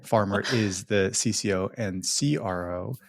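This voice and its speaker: A man's voice: on the low side, a little slow, gravelly. A man hosting a podcast, giving playful, teasing introductions to his guests over a video call. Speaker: podcast host. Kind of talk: casual podcast chat.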